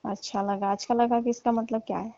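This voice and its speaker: A woman's voice: a little high, flat delivery, brisk, dark tone, dry recording, close to an everyday voice. A woman playing a teasing, mock-annoyed middle-aged auntie chatting casually and coyly on a phone call. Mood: teasing complaint. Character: scolding, coy neighbourhood auntie. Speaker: caller in a staged comic phone call. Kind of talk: casual flirtatious phone chat. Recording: dull lo-fi phone-call audio.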